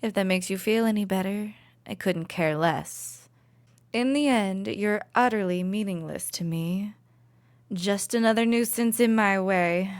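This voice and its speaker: A woman playing a cruel, possessive royal villainess in an ASMR roleplay, condescending and taunting with soft, menacing asides. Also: mid-range voice, measured pace, clean tone, no echo, lightly acted. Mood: cold, dismissive. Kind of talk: ASMR roleplay, dramatic monologue to listener.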